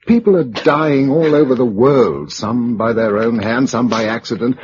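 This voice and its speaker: An actor playing a resolute, practical British survivor of a catastrophe in a radio drama, with a brisk, unsentimental, commanding manner. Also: male voice, mid-range voice, a little mellow, lightly acted. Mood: stern, grave. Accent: British English. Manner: brisk, matter-of-fact, commanding. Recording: dull lo-fi radio recording, faint noise.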